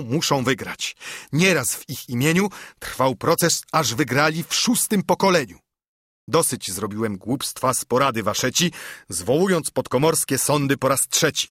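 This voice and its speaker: A man's voice: mid-range voice, lively intonation, a little slow, a bit bright, slightly rough, dry recording, studio quality. A man voicing a hot-tempered, stubborn country judge in a dramatised verse audiobook, exclaiming and blustering. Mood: furious, defiant.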